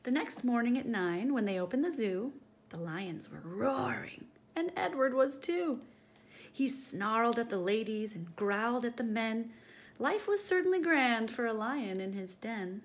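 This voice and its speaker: A woman with a highish, very expressive voice reads a picture book to young children. She sounds like a storytime teacher, with lots of pitch movement and faint noise behind her. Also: a little slow.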